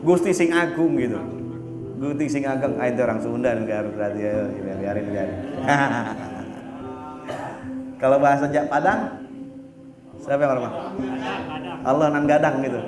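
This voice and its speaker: A man's voice: mid-range voice, unhurried, pauses often. A man preaching a sermon in a casual, blunt and teasing way, often stopping to search for words. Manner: casual and blunt, teasing, fillers like "apa namanya". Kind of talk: religious sermon. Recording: echoey room with music behind.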